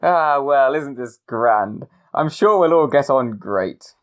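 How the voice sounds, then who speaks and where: A woman's voice: a bass voice, animated, slow, full character voice. A woman playing a pompous male writer, polite but flustered and stammering when cornered. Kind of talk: amateur radio play.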